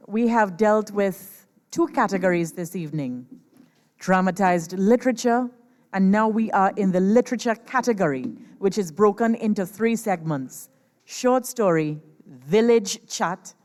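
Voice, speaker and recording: Female MC, formal and deliberate stage announcing, long hall reverb. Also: mid-range voice, lively intonation, darkish tone. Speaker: host, master of ceremonies at a competition. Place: large performance venue, over a PA system.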